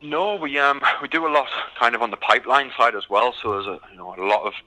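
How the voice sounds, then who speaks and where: A man's voice: mid-range voice, quick pace, pushed and bright, poor audio. A British man from the UK, a recruitment firm founder answering interview questions with many ums and restarts.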